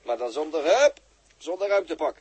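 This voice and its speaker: A man's voice: on the high side, sing-song, moderate pace, a little mellow, husky, no echo, heavily acted. An actor playing a casual, grumbling spaceship crewman in a 1960s Dutch radio drama. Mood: defiant.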